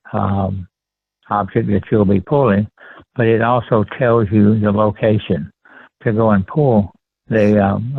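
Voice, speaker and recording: Through a thin, phone-like line comes an elderly man from rural Texas with a Texan accent. His voice is on the low side. He recounts memories politely and deliberately, with halting pauses, as the guest in an interview. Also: gravelly, no echo. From rural Texas, USA. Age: 70s. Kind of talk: conversational personal recollection. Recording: tinny phone-line sound.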